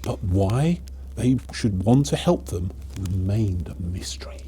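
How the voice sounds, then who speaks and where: A man narrating a children's storybook in an expressive, theatrical, measured way. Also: sits low, slight background noise.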